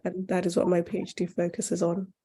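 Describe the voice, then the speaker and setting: A woman from northern Uganda, raised in the UK, who founded a charity, speaking at a forum in a measured, earnest way with some pauses. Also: lowish voice, completely flat delivery, warm tone, slightly rough. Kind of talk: forum talk and answering questions.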